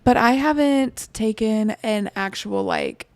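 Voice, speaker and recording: Young woman cohost, stuffy nose, higher voice but gravelly, dry sound. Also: a little mellow, dry recording. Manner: easygoing, quick back-and-forth reactions. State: congested. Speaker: podcast co-host. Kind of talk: casual chat.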